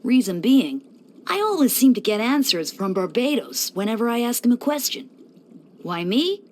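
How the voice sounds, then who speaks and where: A woman's voice: fairly high pitch, sing-song, normal speed. A woman voicing a playful young male church deacon in a video game, breezy and teasing with theatrical mock solemnity. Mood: casual, self-assured. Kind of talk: scripted video game character voice lines.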